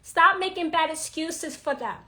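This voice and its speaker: Female witchcraft teacher, New York accent, raspy edge, blunt, repetitive delivery, high voice, unhurried. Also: bright, forceful tone. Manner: blunt, emphatic, repeats her points. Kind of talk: blunt livestream talk.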